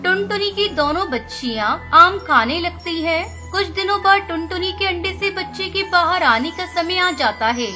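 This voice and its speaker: A woman's voice: very high-pitched, expressive, normal speed, hardly any pauses. A woman narrating a children's cartoon story in plain storytelling style. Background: background music.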